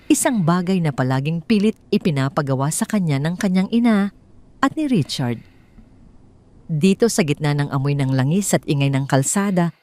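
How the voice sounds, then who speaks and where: A woman's voice: low voice, dark tone. A woman with a Filipino accent reading a scripted story as a storyteller. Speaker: audio drama storyteller.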